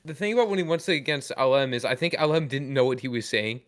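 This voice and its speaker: A man's voice: mid-range voice, lively intonation, fast, a crowd in the background. A man co-hosting a podcast, talking casually in a joking, slangy way. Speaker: podcast co-host.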